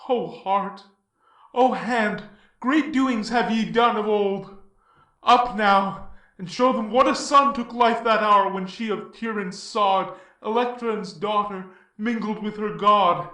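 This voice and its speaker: A very high-voiced, forceful male hero declaims Greek tragedy with grand passion. He is a mighty demigod, indignant and resolute. The sound is echoey, as if he stands away from the mic. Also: slow pace, full character voice. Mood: heroic resolve. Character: mighty heroic demigod, grieved, indignant, then boldly resolute. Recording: roomy echo, distant from mic.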